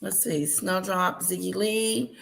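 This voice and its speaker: A woman hosting a casual live stream, chatting and greeting viewers by name in a loud voice. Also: mid-range voice, steady tone, takes it slowly, creaky. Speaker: livestream host reacting to news clips.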